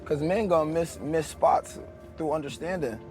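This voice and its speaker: A man's voice: on the high side, expressive, moderate pace, music in the background. A man, a rapper guest, talking bluntly and profanely in a casual interview. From Albany, New York.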